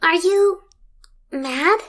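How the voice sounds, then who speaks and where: Little cartoon pony girl, very high bright voice, anxious, stubborn, pleading. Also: drawn-out words, full character voice. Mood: nervous. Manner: pleading, childlike, stammering when in trouble. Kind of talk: animated fan audio drama acting.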